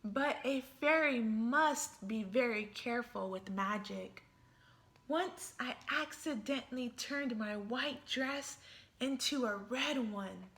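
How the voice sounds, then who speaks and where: Storybook read-aloud: a make-believe little fairy girl, voiced by a woman, high and bright, slow and lively. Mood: playful. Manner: lively storybook reading with playful emphasis. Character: imaginative little girl who thinks she's a fairy. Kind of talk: reading a picture book aloud.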